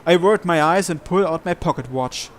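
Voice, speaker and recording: A person reading a visual novel aloud as a gamer, narration and dialogue alike, with game music behind. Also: female voice, lowish voice, brisk, a little hiss or hum.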